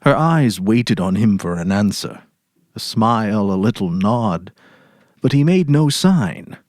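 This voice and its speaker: Male narrator, low voice, lively intonation, measured literary storytelling, dry close recording. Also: no echo.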